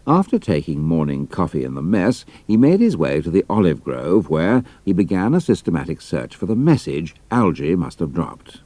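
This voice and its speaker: A man's voice: deep voice, big pitch swings, normal speed, hardly any pauses, mellow, no echo. A British man narrating a scripted adventure story as an audiobook, with precise storytelling delivery.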